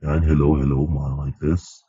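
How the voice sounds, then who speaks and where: A man with a Filipino accent speaking through a deep voice changer, playfully trying its filters. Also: little pitch movement, measured pace, dark tone, slightly rough, no room sound, muffled recording, heavily acted.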